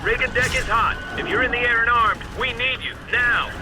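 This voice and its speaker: Navy alarm announcer, very high voice, urgent, clipped, game, loud music. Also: male voice, fairly even intonation, moderate pace. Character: urgent male warship crewman sounding the alarm. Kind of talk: video game military announcements.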